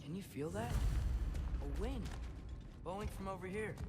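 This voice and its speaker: A man's voice: sits high, expressive, normal speed. An actor playing an earnest, idealistic teenage boy in a video game, speaking persuasively. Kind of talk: dramatic video game acting.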